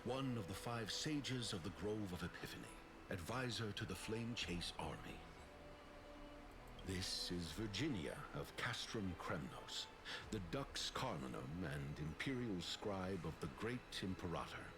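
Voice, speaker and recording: A suave imperial sage from a fantasy game. His low voice swoops theatrically through formal, self-assured phrases, with clear noise in the background. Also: lively intonation, a little slow. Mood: courteous, grand. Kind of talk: scripted video game voice acting.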